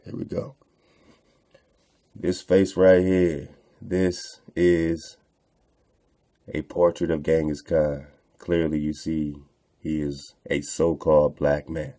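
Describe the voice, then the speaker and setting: Male online host, deep gravelly register, slangy, repetitive, unscripted casual commentary. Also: level tone, slow, long pauses, warm tone. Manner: rambling, repeats phrases, slangy "man" and "you know". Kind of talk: casual unscripted commentary while browsing on screen.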